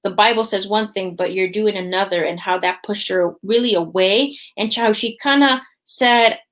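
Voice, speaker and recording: A woman's voice: medium pitch, normal speed, a bit bright. Chatty woman hosting a faith podcast. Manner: chatty, often tags lines with "right?". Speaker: podcast host. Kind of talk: casual faith podcast talk.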